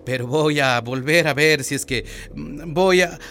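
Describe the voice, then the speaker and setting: A man's voice: on the high side, measured pace, a bit bright, slight background noise. An actor playing a hesitant, stammering male town mayor in a radio drama. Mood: nervous.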